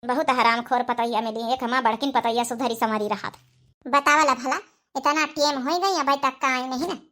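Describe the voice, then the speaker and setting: A woman's voice: high-pitched, fairly even intonation, normal speed, sharp tone. A woman voicing a weary old village mother-in-law in a comic cartoon, complaining and muttering with an Awadhi accent. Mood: exasperated.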